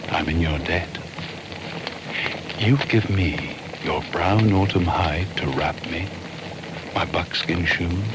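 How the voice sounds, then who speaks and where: An actor playing a solemn shaman hunter, speaking measured ritual verse for a radio drama. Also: deep voice. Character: solemn male shaman hunter invoking animal spirits. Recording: clear background ambience noise.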